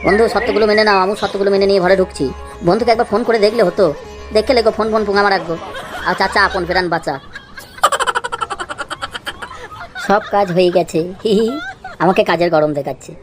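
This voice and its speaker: A woman's voice: mid-range voice, steady tone, racing, over music, lightly acted. A woman playing a henpecked, secretly scheming village husband in an amateur comedy cartoon, wheedling, pleading and muttering to himself. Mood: smug.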